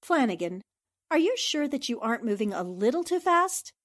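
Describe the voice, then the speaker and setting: A woman playing a sharp, persistent but polite newspaper reporter in an old-time radio drama, articulate and questioning. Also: fairly high pitch, very animated, moderate pace. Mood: challenging.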